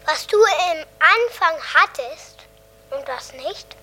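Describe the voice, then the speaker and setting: A young female performer playing an earnest daughter in a radio play, reciting solemnly in short, deliberate phrases. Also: very high-pitched, expressive, pushed and bright, heavily acted.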